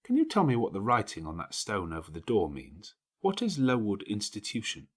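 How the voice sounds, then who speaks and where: Man voicing an inquisitive orphan girl, pitched up high. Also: wildly swooping pitch, fairly quick, slightly rough, heavily acted. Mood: curious, eager. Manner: inquisitive, questioning. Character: young orphan girl, curious, eager newcomer asking questions.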